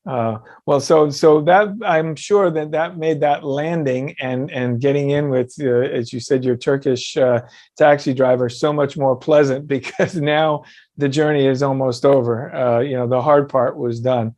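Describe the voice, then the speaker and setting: Dry-sounding male interview host, medium pitch. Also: steady tone, unhurried, a little mellow, no echo. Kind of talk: conversational interview.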